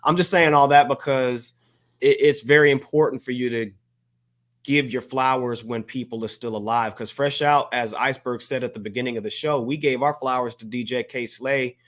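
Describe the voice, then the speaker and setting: A man's voice: mid-range voice, fairly even intonation, moderate pace. Earnest American man hosting a podcast. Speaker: podcast co-host. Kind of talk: casual podcast sign-off talk with shout-outs.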